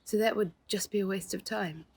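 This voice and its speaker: A woman's voice: medium pitch, steady tone, brisk, creaky, darkish tone, husky, pitched above the performer's own voice. A woman voicing a concerned, insistent mother in a children's story. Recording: noticeable background noise.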